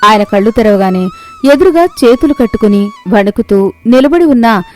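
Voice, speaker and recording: A woman with a fairly high voice tells a moral story as scripted narration. She works as the storyteller, and soft music plays behind her. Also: expressive, moderate pace.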